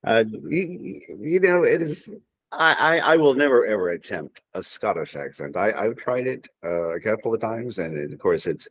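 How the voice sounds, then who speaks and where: Man, very low voice, rambling podcast guest who keeps restarting his sentences. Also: lively intonation, normal speed. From Tennessee, USA. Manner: rambling, hesitant, with many restarts and fillers. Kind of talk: casual podcast chat.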